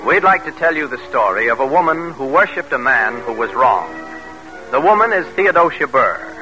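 A man's voice: medium pitch, animated, moderate pace, music in the background, rough recording. A man announcing and narrating a radio drama in formal, declamatory 1940s style. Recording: vintage radio broadcast.

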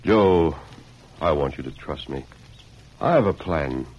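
An actor playing a calm, authoritative masked hero in an old radio western, husky and measured in his delivery. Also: heavily acted. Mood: calm, earnest. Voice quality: very deep, husky. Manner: measured, earnest, commanding. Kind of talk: 1940s-50s radio western acting. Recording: dull lo-fi old radio recording.

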